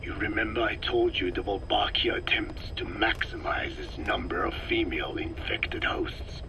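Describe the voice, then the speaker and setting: A whispering gravelly old scientist. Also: male voice, fairly low pitch, steady tone. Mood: clinical. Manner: slow, lecturing, measured. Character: cold elderly male parasite scientist, calm and clinical. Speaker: scientist explaining parasites. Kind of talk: game audio log acting. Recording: noisy game audio tape.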